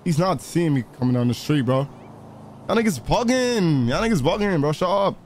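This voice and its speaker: A man's voice: on the high side, expressive, moderate pace, slight background noise. A man playing a crime role-play game, talking in profane, repetitive street slang and bossing others around. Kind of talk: in-character gaming banter, street slang.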